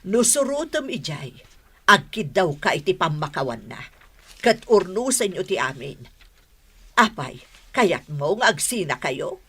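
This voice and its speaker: A woman with an Ilocano accent playing a worried, pleading mother in a radio drama, in a husky voice. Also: fairly low pitch, very animated, unhurried, fairly bright tone, full character voice. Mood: firm, urging. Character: pleading elderly mother, anxious to mend her son's marriage.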